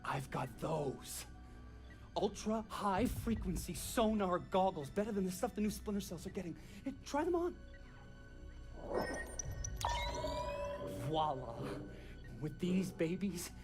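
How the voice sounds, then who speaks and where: An animated, nervous male geek from a video game. He chatters proudly and stammers, his pitch jumping about, with music under him. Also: moderate pace. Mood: eager, starstruck. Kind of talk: video game cutscene acting.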